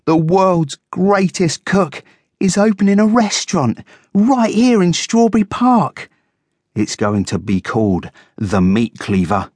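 A woman playing an eager, excitable male guinea pig with a squeaky, enthusiastic manner in a children's storybook. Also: unhurried, few pauses, breathy edge, no room sound, studio quality, heavily acted. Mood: gleeful.

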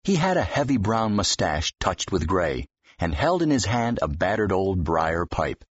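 Deep, husky male audiobook narrator. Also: big pitch swings, measured pace, dry recording. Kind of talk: expressive scripted fiction narration. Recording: dull, slightly muffled audio.

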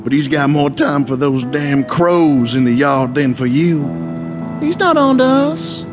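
Cocky young lover, music behind. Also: male voice, on the high side, sing-song, measured pace. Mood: dismissive, protective. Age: young adult. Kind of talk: intimate audio drama acting.

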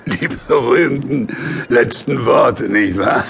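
An actor playing a cynical, weary hired killer in a German audio drama, halting, strained and sardonic. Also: male voice, mid-range voice, noisy background. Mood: dying, bitter irony. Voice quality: creaky, gravelly.

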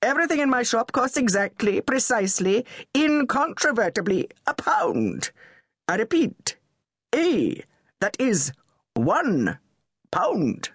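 A woman's voice: medium pitch, very animated, moderate pace, husky, no echo, studio quality. A woman voicing a pedantic, sarcastic middle-aged male shopkeeper with an English public school accent, spelling out every word. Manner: sarcastic, over-precise.

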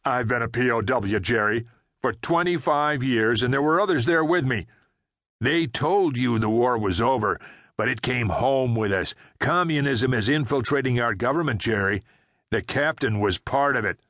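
A man's voice: mid-range voice, normal speed, a bit bright, dry recording, lightly acted. A man voicing a sweating ex-prisoner of war who barks urgent, terse orders, in a dry, close recording. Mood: fervent. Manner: barking terse commands. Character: agitated man, a Vietnam ex-POW, urgent.

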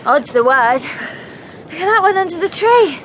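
A woman's voice: very high voice, very animated, normal speed, fairly bright tone, exaggerated voice, low-quality recording. An actress playing a chatty, nosy young woman out running, in a naturalistic radio drama. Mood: curious. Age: young adult. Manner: chatty, inquisitive. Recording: clear outdoor ambience noise behind.

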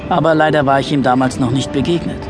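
A man's voice: mid-range voice, fairly even intonation, fast talker, over music, close to an everyday voice. A man acting a wry, resentful young narrator looking back on boyhood in a scripted audio drama.

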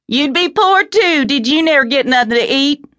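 A woman voicing a sharp-tongued backwoods mother in an audiobook, in blunt Southern rustic dialect. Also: high-pitched, expressive, normal speed, no echo, voice pushed up. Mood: tart, complaining. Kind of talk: audiobook character reading.

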